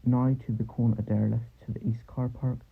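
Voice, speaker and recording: A man's voice: mid-range voice, flat delivery, fairly quick, creaky. A man giving an informal voice-over narration as the guide of a lift tour. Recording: muffled lo-fi mic, loud noise.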